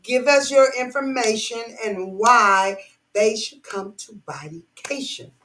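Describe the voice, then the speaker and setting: A woman's voice: a little high, lively intonation, a little slow, fairly bright tone, a little hiss or hum. A woman hosting a podcast in casual chat, hyping up her guest with energy. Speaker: podcast host. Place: podcast studio.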